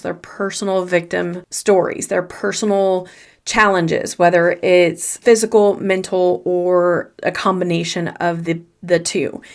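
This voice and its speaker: A woman with a faint Southern US accent giving earnest, encouraging advice in a solo motivational podcast, repeating her key phrases. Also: medium pitch. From Southern United States. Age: young adult or older. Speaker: podcast host and confidence coach.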